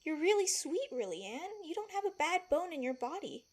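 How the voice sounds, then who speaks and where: High, blunt, feisty woman confidante, acted. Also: big pitch swings, moderate pace. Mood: reassuring, frank. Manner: blunt, emphatic. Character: feisty, protective, blunt female palace servant and confidante. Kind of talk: audio drama acting.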